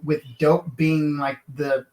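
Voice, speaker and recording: A man from Kansas, a lawyer and author, answering interview questions in an earnest, halting way full of fillers and restarts. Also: fairly high pitch, level tone, a little mellow, echoey room, slight background noise.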